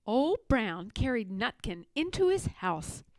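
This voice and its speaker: Slow, very animated woman storyteller. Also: fairly high pitch, dry recording. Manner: expressive storytelling. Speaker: storybook reader. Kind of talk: scripted children's story narration.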